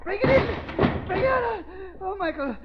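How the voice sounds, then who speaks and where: An actor playing a bitter, frail old scientist in a 1930s radio drama, stammering and panicky. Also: male voice, extremely high pitch, very animated, a little slow, heavy background noise, exaggerated voice. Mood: frantic. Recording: dull old radio recording.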